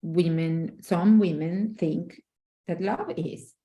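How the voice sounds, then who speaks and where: A woman's voice: lowish voice, slow pace, warm tone, muffled recording. A middle-aged woman from Argentina, speaking earnestly and emphatically as a relationship coach in a casual interview, often restarting her phrases.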